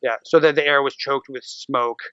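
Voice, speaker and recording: Smooth-voiced American man, slightly dull audio. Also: medium pitch, fairly even intonation, moderate pace, fairly bright tone, dry recording. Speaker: podcast guest. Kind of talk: casual podcast interview chat.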